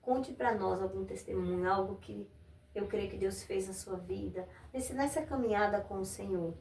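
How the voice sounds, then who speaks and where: A woman from Brazil hosting a religious interview podcast, affirming her guest with frequent amens and gently preaching. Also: mid-range voice, normal speed, gravelly. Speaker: podcast host. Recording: echoey room, voice a bit far from mic, faint noise.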